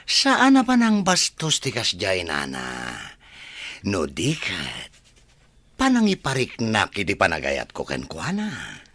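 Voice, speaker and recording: A low, rough-edged male radio drama villain, played by an actor, a resentful and brazen adopted son. He drawls slowly and menacingly, with wide dramatic swings of pitch. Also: huge pitch swings, sharp tone, dry recording, full character voice. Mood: smug, brazen. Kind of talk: Ilocano radio drama acting.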